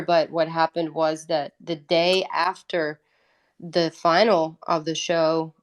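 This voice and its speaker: Low-pitched Italian woman, candid and informal. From Italy. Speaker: guest, independent musician. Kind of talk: casual interview storytelling.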